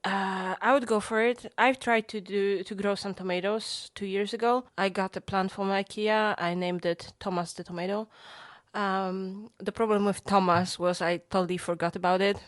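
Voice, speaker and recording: A woman's voice: medium pitch, steady tone, moderate pace, no echo, studio quality. A young woman co-hosting a podcast in casual chat, talking in a chatty way with restarts and repeated words. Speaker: podcast co-host.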